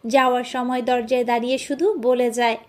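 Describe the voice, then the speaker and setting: High-pitched woman narrating a story. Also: little pitch movement, brisk.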